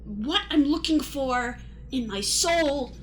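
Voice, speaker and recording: A woman's voice: high-pitched, lively intonation, slow pace, a bit bright, exaggerated voice, low-quality recording. A woman playing a timid, aimless thirty-something drifter, stammering and apologetic, in an improvised comedy radio play. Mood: earnest, searching. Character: timid, aimless thirty-five-year-old wanderer named Fred. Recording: light background noise.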